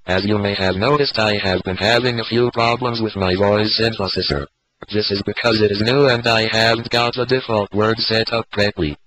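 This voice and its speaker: A man's voice: lowish voice, fairly even intonation, normal speed, natural voice. A man playing a stiff, formal army colonel who speaks through a voice synthesizer in an amateur audio drama. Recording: faint background noise, homemade.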